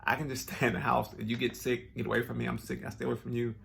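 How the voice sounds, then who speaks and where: A man's voice: mid-range voice, level tone, fast, creaky, fairly bright tone, a little hiss or hum. A man talking casually to his viewers, earnest and rambling with many restarts, sounding a bit nasal and stuffed up. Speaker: vlogger. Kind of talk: casual personal talk to camera.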